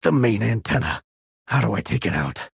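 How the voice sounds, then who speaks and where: A man playing a gruff, demanding military operative in a video game, raspy and gravelly, with curt delivery. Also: on the low side, sing-song, moderate pace, dry recording, studio quality, exaggerated voice. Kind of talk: dramatic game dialogue.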